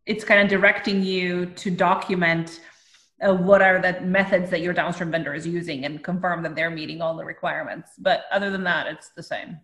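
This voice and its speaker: Woman consultant w a lowish voice chatting thru technical stuff, really echoey room. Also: fairly even intonation, a little fast, a bit bright. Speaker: consultant panelist. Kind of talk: informal technical commentary.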